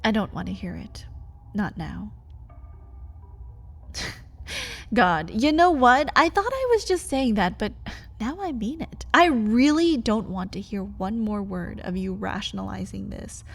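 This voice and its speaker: A woman playing a caged vampire lover in an ASMR roleplay, intimate and breathy, pleading and bitter, often dropping toward a whisper. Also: mid-range voice, animated, unhurried, long pauses. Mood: exasperated.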